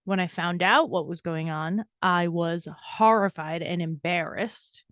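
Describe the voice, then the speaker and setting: A woman in her forties, a burnout coach and guest on a podcast interview, telling her story in a candid, brisk, self-deprecating way. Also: lowish voice, a bit bright, dry recording, studio quality.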